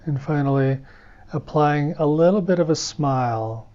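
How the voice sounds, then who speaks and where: A man teaching guided meditation in a calm, gentle, unhurried manner. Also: on the high side. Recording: dull, muffled lo-fi audio.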